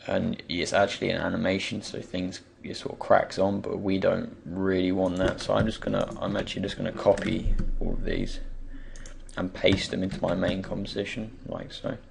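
A man with a croaky, just-woken voice gives an unscripted software tutorial, hesitantly thinking aloud as he goes. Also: low-pitched, level tone, moderate pace, crowd noise. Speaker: tutorial presenter. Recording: faint background noise, keyboard and mouse clicks.

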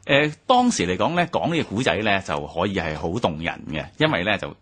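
低沉沙聲嘅香港男人. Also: male voice, low-pitched, husky. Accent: Hong Kong Cantonese. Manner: brisk affirmations, lively explaining. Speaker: radio co-host. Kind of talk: radio history discussion. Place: radio studio.

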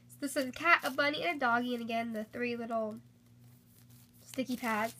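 A woman's voice: a little high, a little fast, sharp tone, slight background noise. A young woman chatting casually through a shopping haul, slightly congested from a cold, filmed on a handheld phone at home. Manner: chatty, upbeat, with frequent fillers. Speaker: haul vlogger. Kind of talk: casual haul video chat. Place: indoors at home, filming items on a bed.

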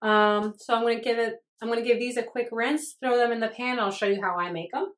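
A woman's voice: fairly high pitch, brisk, fairly bright tone. A young woman talking casually to her viewers as she shows how she cooks in her home kitchen, chatty and enthusiastic. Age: young adult. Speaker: cooking vlogger. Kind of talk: casual cooking demonstration. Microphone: camera on a stand, close for talking and a bit further back at the stove.

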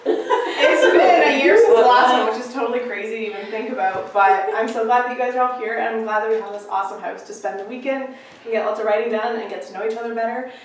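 Young woman organizer, high voice, welcome speech, far camera in a very echoey noisy room. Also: fast talker, a crowd in the background. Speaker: retreat organizer. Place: living room of a rented house.

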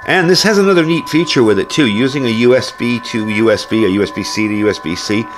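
A man's voice: medium pitch, quick pace, soft background music. A man giving a casual hands-on gear review as host, explaining things informally, step by step.

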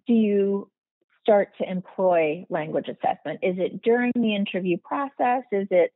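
A woman's voice: mid-range voice, dry recording. A woman hosting a podcast interview, framing her questions fluently and at length. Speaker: podcast host. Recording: tinny phone-like line, dry.

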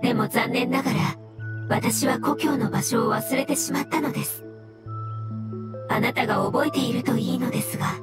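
A woman playing a gentle, earnest floating mushroom creature in a Japanese video game, speaking formally and with courteous composure. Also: a little high, moderate pace, lightly acted. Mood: calm, reassuring. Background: background music.

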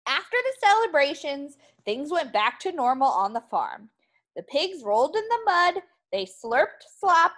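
A woman teacher reading a picture book aloud to young children in an animated, expressive way. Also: high-pitched.

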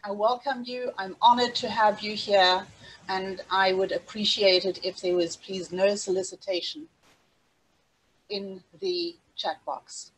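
A woman's voice: medium pitch, unhurried, slightly rough, low-quality recording. A middle-aged woman with a South African accent, presenting a webinar over a video call in an earnest, scripted way with small restarts. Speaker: safari travel specialist hosting a webinar.

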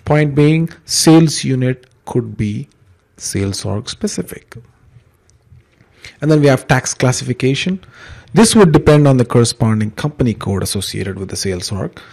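Male instructor, mid-pitched, fry-heavy voice, conversational, explanatory, step-by-step tutorial narration. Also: animated, normal speed, darkish tone. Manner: explanatory, conversational, uses examples. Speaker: software trainer, instructor.

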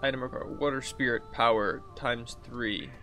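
A man in his thirties with a bright, gravelly voice talks through a video game. His commentary is casual and rambling, as if thinking aloud, with faint music behind him. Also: medium pitch, moderate pace. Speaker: gaming video host. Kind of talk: casual let's play commentary. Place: indoors at a computer desk.

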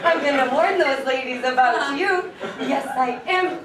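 A woman's voice: sits high, unhurried, recorded from a distance, very noisy. A woman acting as a sweet, gushing neighbor who adores a dog, in a live comedy sketch. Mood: gushing, adoring. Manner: cooing, effusive baby talk to a dog. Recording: echoey stage from afar, audience crowd.

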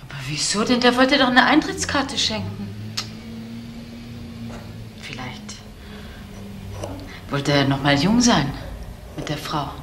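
A woman's voice: medium pitch, big pitch swings, slow, long pauses, fairly bright tone. Worried mother, echoey and noisy. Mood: soothing, pensive. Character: worried, soothing middle-aged mother, gently reproving. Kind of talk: German crime radio play acting. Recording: echoey room, clearly noisy old recording.

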